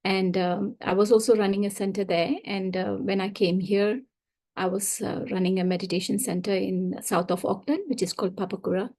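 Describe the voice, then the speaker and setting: A woman from Singapore telling her personal story as a guest speaker, hesitant, with frequent ums and pauses. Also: medium pitch, steady tone, darkish tone.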